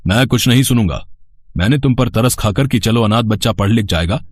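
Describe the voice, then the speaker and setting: A man playing a stern, snobbish school principal in a cartoon moral story, with a husky, scolding and condescending delivery. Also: lowish voice, a little fast, no echo, close to an everyday voice. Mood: angry, scornful. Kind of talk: animated moral story dialogue.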